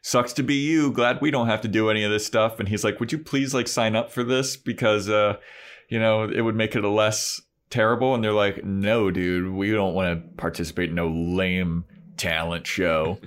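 A man's voice: on the low side, fairly even intonation, normal speed. A man co-hosting a podcast, casually recapping a TV episode in slangy talk and acting out quoted asides. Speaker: podcast co-host.

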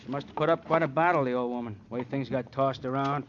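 An actor playing a plain-spoken, unbookish police detective in old radio drama, clipped and matter-of-fact. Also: male voice, mid-range voice, quick pace, close to an everyday voice. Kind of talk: 1950s radio crime drama acting. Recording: dull, slightly noisy old radio recording.

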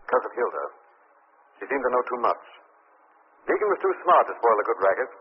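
An actor playing a calm, assured gentleman sleuth in 1940s radio drama style. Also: mid-range voice, brisk, slightly rough, exaggerated voice. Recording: noisy muffled old radio recording.

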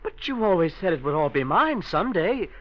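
An actor playing a spendthrift young nephew, defensive and slangy, in 1940s radio crime drama style. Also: male voice, high voice, big pitch swings, fairly quick. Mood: pleading. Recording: dull old radio recording.

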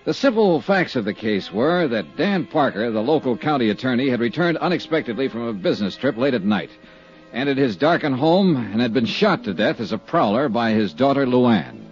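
Wry American private investigator, played by a man: brisk, persistent, animated mid-range voice, vintage radio-drama sound. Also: mid-range voice, soft background music, lightly acted. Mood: brisk, dry narration. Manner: sardonic, brisk, clipped. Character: wry, tough, persistent male insurance investigator. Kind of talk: 1950s radio drama acting and scripted narration. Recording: dull vintage 1950s radio recording.

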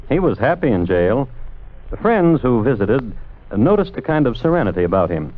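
An actor playing the solemn narrator of a vintage radio crime drama, telling the tale in literary, measured phrases. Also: male voice, medium pitch, very animated. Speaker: story narrator. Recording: tinny vintage radio recording with music.

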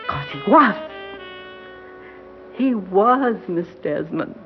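A woman's voice: mid-range voice, animated, extremely slow, soft background music. An actress playing a proud, grieving old grandmother in a 1960s American radio drama, speaking formally and emphatically. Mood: grieving, pleading. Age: older. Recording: dull vintage radio recording.

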